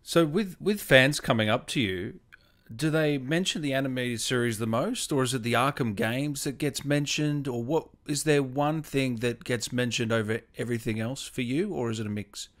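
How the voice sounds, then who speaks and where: A man's voice: mid-range voice, normal speed, a bit bright. A man hosting a casual fan interview, asking questions in an enthusiastic, agreeable way.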